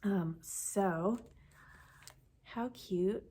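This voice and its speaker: A woman's voice: mid-range voice, drawn-out words, dark tone, slight background noise. A woman vlogging casually about knitting, sniffly from the cold, chatting in a rambling way that corrects itself as she goes. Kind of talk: casual chat to camera.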